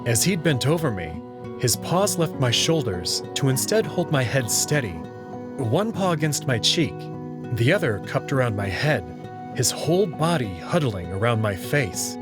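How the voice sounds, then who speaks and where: A man's voice: mid-range voice, big pitch swings, a little slow, music in the background. A man giving a dramatic first-person narration of a visual novel story. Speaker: narrator and reader.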